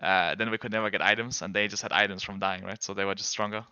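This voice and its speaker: A man's voice: on the low side, level tone, fast talker, sharp tone, smooth, no echo, dull audio. A man whose first language is Danish, chatting casually with viewers while gaming as a streamer, rambling and restarting his sentences.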